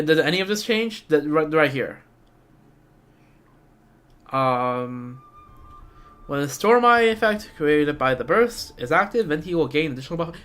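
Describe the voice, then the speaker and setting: A man's voice: a little high, a bit bright. A young man giving casual live stream commentary on a game, rambling and thinking aloud. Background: game music.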